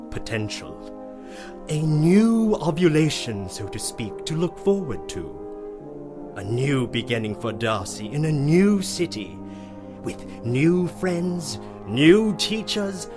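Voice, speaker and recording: A man playing a prim, florid, girlish-minded aunt narrating a radio play in a pompous manner, savoring each word. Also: mid-range voice. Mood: musing, grandiose. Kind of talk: theatrical scripted radio play monologue.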